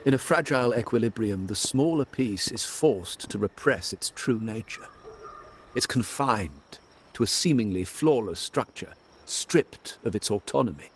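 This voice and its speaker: An actor playing a stern old family patriarch in a video game, measured and solemn, with a gravelly voice. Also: male voice, on the low side, animated. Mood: grave, resolute. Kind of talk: dramatic video game voice acting. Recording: noisy, night insect ambience behind.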